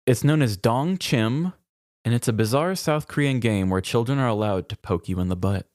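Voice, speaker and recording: A podcast co-host lays out an odd fact in an easygoing chat, sounding calm and matter-of-fact. The voice sits in a middle pitch and leans on casual "like" fillers. The sound is close and dry. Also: moderate pace, dry recording.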